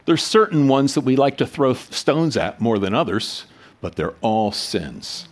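A man preaching to his congregation in a church, his medium-pitched voice rising and falling with lively emphasis. He talks in a plain, conversational way, drops into quieter asides, then builds back up with rhetorical questions. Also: a little slow. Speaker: pastor. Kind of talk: sermon.

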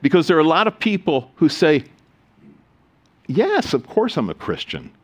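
A man preaching a sermon as pastor, reading scripture solemnly and adding joking, animated asides. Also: fairly high pitch, moderate pace, slightly rough.

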